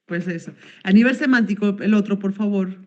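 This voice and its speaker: A woman's voice: mid-range voice, a little fast, darkish tone, muffled recording. A woman from Venezuela, presenting research results at an academic conference over a video call and hesitating with many fillers and self-corrections.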